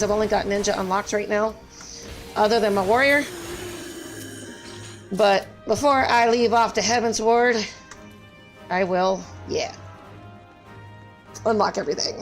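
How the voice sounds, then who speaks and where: A woman's voice: fairly high pitch, animated, moderate pace, long pauses, soft background music. A woman live-streaming a game and chatting casually with viewers, her voice strained and scratchy, apologetic and full of fillers.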